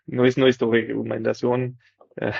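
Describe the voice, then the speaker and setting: Brief: technician speaking careful broken Spanish, creaky husky voice, dull dry stream audio. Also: male voice, medium pitch, monotone, darkish tone, no echo. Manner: halting non-native Spanish, many fillers, tag questions. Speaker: dental technician and product developer. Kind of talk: casual technical explanation.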